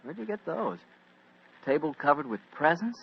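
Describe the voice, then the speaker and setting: An American man working as a 1940s radio announcer and pitchman, with a polished, folksy delivery. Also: sing-song, moderate pace, gravelly, slightly rough, no room sound. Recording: muffled old radio broadcast.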